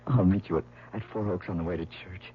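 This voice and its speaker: An actor playing an earnest, nervous young painter in love in a 1970s radio drama, with a hesitant delivery. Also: male voice, sits low, steady tone, fast talker, slightly rough. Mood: hushed eager. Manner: hesitant, stammering. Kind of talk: 1970s radio drama acting with first-person narration. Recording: tinny, noisy old radio broadcast.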